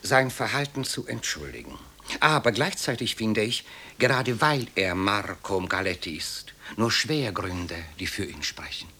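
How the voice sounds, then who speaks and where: A reserved Polish gentleman in a radio play, with a low, husky voice. He is courteous and measured, yet morally firm. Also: animated, full character voice. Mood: grave, judging. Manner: polite, measured, reticent. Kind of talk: German radio drama acting.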